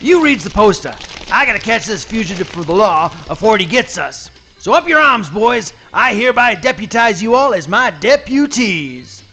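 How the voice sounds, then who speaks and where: A high, bright, blustery alligator sheriff in a comic radio play. He talks in folksy dialect, swooping and exclaiming as he bosses everyone about. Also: big pitch swings, normal speed, soft background music, full character voice. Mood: bossy, excited. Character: blustery, hot-tempered male alligator, self-appointed country sheriff. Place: live reading at a hotel venue.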